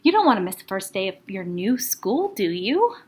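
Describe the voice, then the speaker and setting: A woman's voice: medium pitch, very animated, a little mellow, breathy edge, pitched below the performer's own voice. Woman reading as coaxing, firm storybook man Mister Hartwell. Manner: brisk, cajoling. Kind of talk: children's storybook read-aloud.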